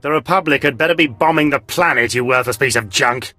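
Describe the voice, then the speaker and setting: A man's voice: medium pitch, fairly quick, sharp tone, soft background music, close to an everyday voice. A man playing a haughty, short-tempered imperial officer in a video game, pompous and imperious. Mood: irate. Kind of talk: video game cutscene acting.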